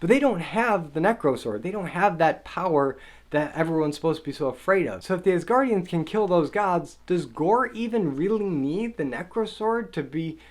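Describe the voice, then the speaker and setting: A man's voice: fairly high pitch, expressive, moderate pace. A man talking through a spoiler review of a superhero movie, asking a string of questions about the plot and sounding mildly exasperated with it. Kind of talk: spoiler film review monologue.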